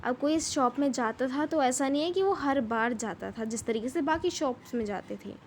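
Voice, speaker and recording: A woman with a high-pitched voice teaches an English chapter. She reads the English text slowly and then explains it at length in Hindi, repeating herself. Faint noise sits behind her voice.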